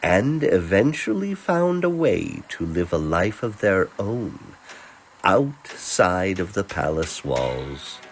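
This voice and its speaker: A man narrating a fantasy romance as a storyteller, in a tender, theatrical manner with dramatic pauses. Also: deep voice, big pitch swings, slight background noise.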